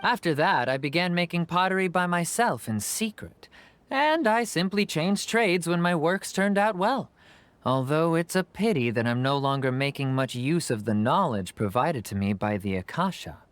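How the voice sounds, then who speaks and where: Actor as an animated, eager pottery merchant. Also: medium pitch, moderate pace, no echo, natural voice. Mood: eager, friendly. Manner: eager, salesmanlike. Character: friendly, eager potter shopkeeper. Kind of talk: video game voice acting.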